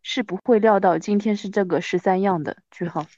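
A woman's voice: mid-range voice, fairly quick, a little mellow, no room sound, highs cut off. A woman in her thirties hosting a casual chat with an old friend, with a wry, self-mocking manner. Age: 30s. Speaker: podcast host.

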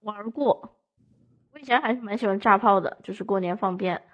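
A young woman with a medium-pitched voice talks on a podcast. Her delivery is casual and conversational, with a sensible, explanatory way of putting things. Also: fairly quick, lo-fi sound. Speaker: podcast speaker.

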